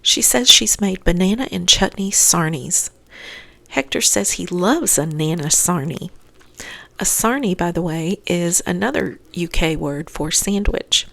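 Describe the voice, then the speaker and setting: A woman's voice: on the low side, animated, measured pace, creaky, bright, forceful tone. A woman narrating a scripted episode recap as host, adding chatty asides to listeners.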